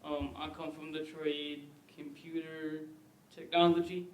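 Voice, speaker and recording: A man's voice: on the high side, little pitch movement, a little slow, echoey room, low-quality recording. A young man in his late teens from Ohio, a student, answering interview questions hesitantly with "um"s. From Ohio, USA.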